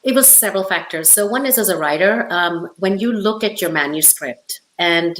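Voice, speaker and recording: A woman's voice: fairly low pitch, moderate pace, fairly bright tone. A middle-aged woman from Hong Kong, an author talking as an interview guest in an emphatic, conversational storytelling way. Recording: roomy, echoey sound.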